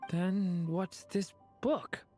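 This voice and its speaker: Gentle, low-pitched young boy. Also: drawn-out words, faint music behind. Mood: puzzled. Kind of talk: video game voice acting.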